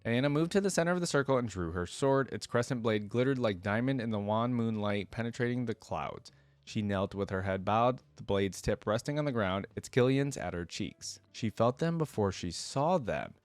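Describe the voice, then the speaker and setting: A man's voice: lowish voice, lively intonation, moderate pace, no room sound, soft background music. A man hosting a reaction video, reading a fantasy story aloud and adding casual fan commentary.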